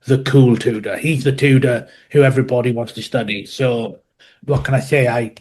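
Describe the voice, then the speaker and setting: A man with a Welsh accent speaks as a guest historian on a podcast, laying out his points in a measured, explanatory way. His humour is dry. His voice sits at an ordinary middle pitch. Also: fairly even intonation, darkish tone. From West Wales. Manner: measured, explanatory, dryly humorous. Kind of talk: podcast interview.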